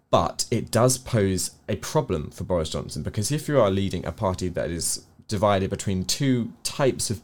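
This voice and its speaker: A man with an English accent co-hosting a news review, explaining his opinions in an earnest, conversational way with careful qualifications. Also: low voice. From England. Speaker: co-host of a news review show. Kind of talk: conversational political discussion.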